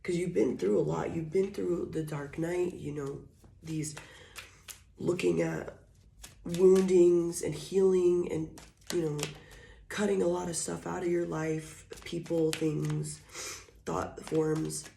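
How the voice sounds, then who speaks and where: A woman giving a casual tarot reading. She is hoarse from being sick and talks softly and slowly, with fillers. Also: lowish voice, fairly even intonation. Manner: soft, slow and drawn-out, with scattered fillers. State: hoarse, recovering from illness, coughing. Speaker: tarot reader. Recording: echoey room, faint noise.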